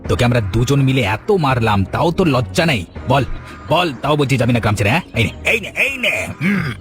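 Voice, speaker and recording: A village villain's sidekick, played by a man, quick-tongued and scheming. He fawns and taunts in cartoon style, with music clearly behind his words. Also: medium pitch, animated, fairly bright tone, natural voice. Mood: bullying. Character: village headman's scheming, fawning, bullying henchman. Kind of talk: Bengali cartoon villain acting.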